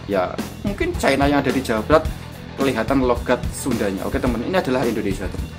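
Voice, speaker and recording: A man with a thick Javanese accent who hosts a channel, giving commentary that lectures and asks rhetorical questions. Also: mid-range voice. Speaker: YouTube channel host. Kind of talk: commentary after a reaction clip. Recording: music playing underneath. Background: guitar music.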